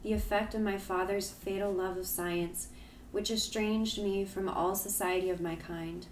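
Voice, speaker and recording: A man voicing a gentle, sorrowful young woman in an audiobook reading, pleading softly. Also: high voice, steady tone, measured pace, reverberant, slight background noise, pitched above the performer's own voice, low-quality recording. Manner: pleading, soft and tremulous.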